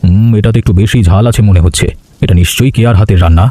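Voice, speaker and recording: A man's voice: on the low side, rapid-fire, dark tone, no room sound, natural voice. A man playing an earnest, polite village family man in cartoon dialogue. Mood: pleased. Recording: music behind the voice.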